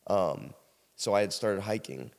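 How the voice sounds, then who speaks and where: A man with an American accent and a very low voice tells a story casually. He slips into quoted exchanges in an animated way, as a guest on a podcast would. The sound has a long, hall-like reverb. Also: unhurried. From South Carolina, United States.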